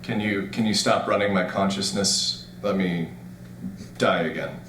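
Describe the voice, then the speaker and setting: Man acting a low-voiced, halting, bewildered man. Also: a bit bright, echoey room, a little hiss or hum. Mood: pleading. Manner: hesitant, halting, stammering. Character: bewildered, weary dead man revived inside a simulation. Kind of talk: naturalistic audio drama acting.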